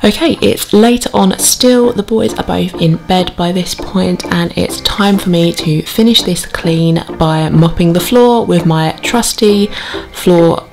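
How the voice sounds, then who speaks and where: A woman's voice: on the low side, a little slow, creaky, a little mellow. A British woman in her thirties giving a casual, chatty voice-over as a home vlogger. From United Kingdom. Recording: voice-over with music behind. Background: background music.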